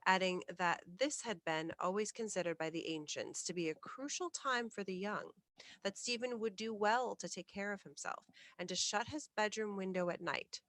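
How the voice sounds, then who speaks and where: A woman reading a classic ghost story aloud as scripted bedtime narration, in a measured, literary storytelling manner. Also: fairly low pitch, fairly bright tone, dry recording. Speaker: storyteller, reader of ghost stories.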